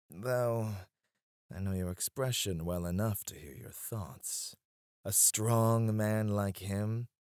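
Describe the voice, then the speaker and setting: A man voice acting a scheming, devoted royal advisor in an ASMR roleplay, soft-spoken and teasing, dropping to a hush when he addresses his queen. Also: on the low side, dry recording, studio quality. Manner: teasing and confiding. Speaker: voice actor.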